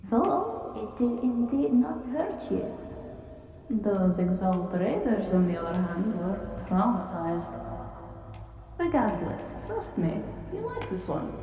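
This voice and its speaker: A woman's voice: mid-range voice, very animated, slow. A woman voicing an eccentric female potion brewer and magic mentor in an audio drama, teasing, fussy and theatrical. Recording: roomy distant dull-toned sound.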